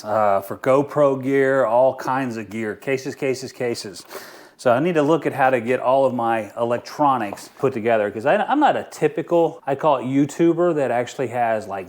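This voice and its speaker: A middle-aged man hosting a casual outdoor gear review in an informal, enthusiastic way. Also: mid-range voice. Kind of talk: casual product review vlog.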